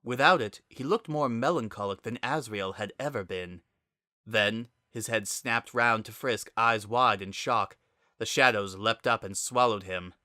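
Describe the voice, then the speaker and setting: A man's voice: fairly low pitch, measured pace, pushed and bright, dry recording, studio quality. A man narrating a scripted story in a dramatic reading style.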